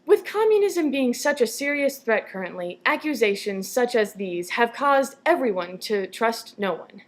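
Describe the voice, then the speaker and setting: Slightly high-voiced young female newsreader. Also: very animated, normal speed. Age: young adult. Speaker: news anchor. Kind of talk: scripted newscast narration.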